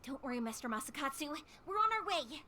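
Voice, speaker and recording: An actress voicing a cheerful, bold young fireworks maker in an anime-style video game, chirpy and breezy. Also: female voice, high voice, big pitch swings, fast, a crowd in the background. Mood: cheerful, confident. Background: game music.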